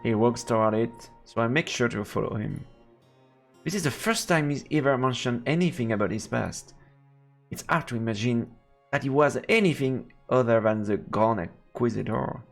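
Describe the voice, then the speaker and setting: A man with a French accent narrates a visual novel live on stream. His voice is medium in pitch with plenty of fry, and his English phrasing is hesitant and playful. Faint guitar music runs behind him. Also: normal speed. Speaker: game streamer. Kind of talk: live let's play, reading game text aloud and commenting.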